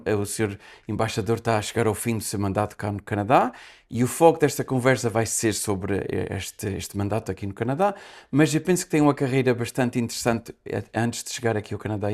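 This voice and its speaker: A man's voice: medium pitch, a little mellow. Polite man hosting a talk show. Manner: polite and conversational, with some fillers. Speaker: podcast host, interviewer. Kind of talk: welcoming interview host.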